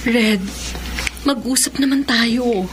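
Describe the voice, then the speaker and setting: A woman's voice: fairly high pitch, takes it slowly, noisy background. A pleading, remorseful young woman. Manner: pleading, emotional. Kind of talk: broadcast radio drama acting.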